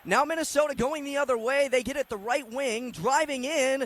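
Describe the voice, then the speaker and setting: A man's voice: extremely high pitch, fairly quick, fairly bright tone. A man doing live radio play-by-play of a basketball game in clipped phrases that track the action. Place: at a basketball arena. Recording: live arena ambient noise.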